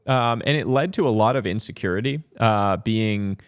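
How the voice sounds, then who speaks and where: A man's voice: lowish voice, moderate pace, clear, resonant tone, no room sound, studio quality. A man from Massachusetts, a podcast guest, thoughtfully telling stories about his early life, with frequent "um" and "like" fillers. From Massachusetts, USA. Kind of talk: reflective interview storytelling.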